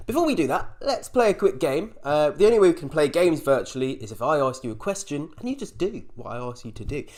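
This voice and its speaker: British male host, chatty and upbeat, lively pitch. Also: fast. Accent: British English. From United Kingdom. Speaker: conference host. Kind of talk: live-stream event hosting.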